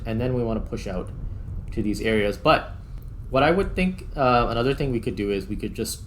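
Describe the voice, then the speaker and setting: A man with a fairly low voice talks casually through a game as host, thinking out loud in loose, unfinished phrases. A clear layer of game noise runs behind him. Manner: loose, unscripted, trails off mid-thought. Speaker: host, gamer playing a space flight simulator. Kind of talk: casual gameplay commentary, thinking aloud.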